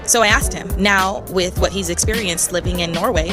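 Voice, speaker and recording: A woman's voice: on the low side, a little fast. An American woman presenting a travel show in scripted voice-over narration. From United States. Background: music.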